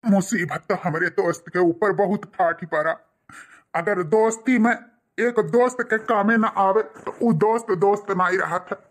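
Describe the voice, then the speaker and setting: A man's voice: high-pitched, fairly bright tone. A man voicing a loyal, earnest village man in a cartoon, with an Awadhi accent and an emphatic, declaiming delivery.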